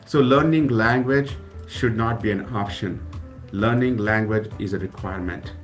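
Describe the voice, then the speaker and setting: A man's voice: on the low side, moderate pace, soft background music. A man from South India whose first language is Kannada, a college president talking earnestly and gratefully in a casual radio interview, often restarting his phrases. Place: radio studio.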